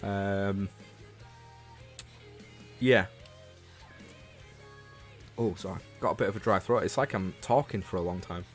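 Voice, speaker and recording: Male livestreamer, low voice, Northern English accent, informal game chat over faint background music. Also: slow pace, pauses often. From Leeds, England. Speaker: livestreamer and show host. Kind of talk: casual livestream chat about games, replying to viewers.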